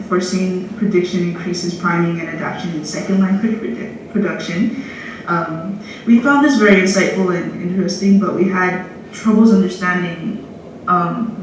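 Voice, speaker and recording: Student interviewer, polite question, echoey distant playback, noisy. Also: mid-range voice. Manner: polite, reads out a paper title. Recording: played-back video in an echoey room, distant, noisy.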